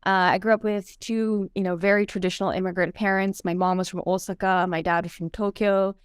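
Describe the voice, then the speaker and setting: A woman's voice: medium pitch, flat delivery, fairly quick, smooth, dry recording, studio quality. A woman in her thirties from Canada, a guest telling her story in a casual interview, chatty with many fillers. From Canada (Vancouver). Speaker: guest, entrepreneur.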